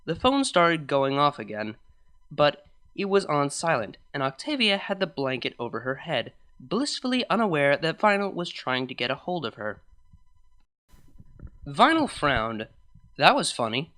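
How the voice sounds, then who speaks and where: A woman reading a scripted fan fiction story as narrator, in an expressive storytelling manner. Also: low voice, measured pace.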